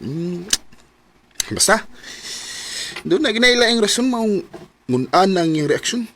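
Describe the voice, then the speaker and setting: Young cebuano guy friend in a radio drama, concerned, slightly high voice, bit of noise. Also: very animated, takes it slowly, full character voice. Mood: concerned, suspicious. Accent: Cebuano Filipino. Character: young male buddy, concerned and suspicious friend.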